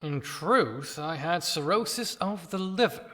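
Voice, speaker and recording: A man's voice: fairly high pitch, animated, unhurried, a bit bright. Man doing an older deacon, subdued, cavernous reverb. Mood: sly, confiding. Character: hypocritical older church deacon, secret drinker, dryly confessing. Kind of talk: dramatic monologue in an audio play.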